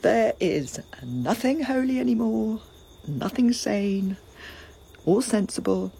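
An actress playing a grieving, vengeful young princess in a classical tragedy, giving an impassioned, bitter lament that sometimes drops to a whisper. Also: female voice, medium pitch, animated, takes it slowly, creaky, dark tone, slightly rough, heavily acted. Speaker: stage actor. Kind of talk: classical tragedy stage acting.

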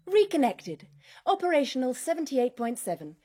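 A woman's voice: high voice, sing-song, normal speed, warm tone, breathy edge, heavily acted. A woman voicing an obedient female robot built to act as a grandmother, answering every order with a sweet "darling". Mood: dutiful.

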